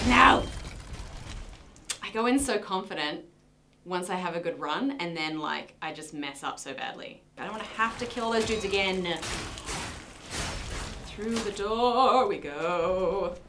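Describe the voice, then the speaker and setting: A woman's voice: medium pitch, animated, measured pace, pauses often, noisy background. A young woman who grew up in New Zealand, hosting a casual let's-play and chatting, self-mocking and exclaiming at the game, in a small room with game music behind. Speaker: gaming video host. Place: small decorated room indoors.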